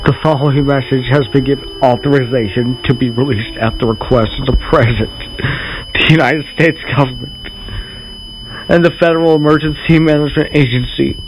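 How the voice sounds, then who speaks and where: A man playing a government representative who gives a solemn, halting farewell in an emergency broadcast, repeating his phrases. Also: mid-range voice, creaky, natural voice. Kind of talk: emergency broadcast announcement, amateur roleplay. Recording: noisy home recording with hum.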